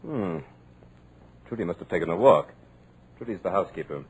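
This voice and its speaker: An actor playing a smooth, commanding radio producer with a sinister edge, in a 1940s radio drama. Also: male voice, low voice, lively intonation, a little fast, exaggerated voice, poor audio. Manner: suave, commanding.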